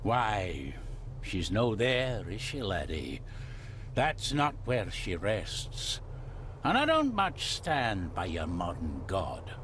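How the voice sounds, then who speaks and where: Gruff, slow-talking old Scottish gardener. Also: male voice, lowish voice, huge pitch swings, fairly bright tone, slight background noise, full character voice. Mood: wistful, stubborn. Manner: blunt, dialect-laden, guarded. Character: gruff, old-fashioned, superstitious older Scottish male gardener. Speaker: character actor in a video game. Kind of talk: dramatic game cutscene dialogue.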